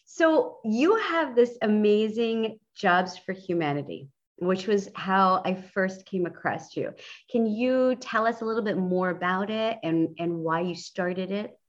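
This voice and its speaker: A woman's voice: medium pitch, animated, a little slow, dull audio. A woman hosting a podcast interview, upbeat and enthusiastic as she introduces and questions her guest. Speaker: podcast host.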